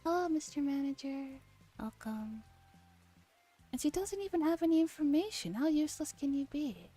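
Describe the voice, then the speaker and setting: A woman's voice: high voice, expressive, normal speed, warm tone. A woman streamer playfully reading a visual novel aloud and acting out the dialogue, in an ASMR stream. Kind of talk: ASMR game stream, read-aloud and chat. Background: game music.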